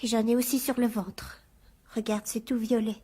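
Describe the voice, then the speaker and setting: A frightened teenage schoolgirl in a radio drama. Her voice is very high, and she pleads anxiously and insistently, as someone desperate to be believed. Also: flat delivery, moderate pace, warm tone, breathy edge. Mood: fearful, confiding. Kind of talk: French radio drama acting.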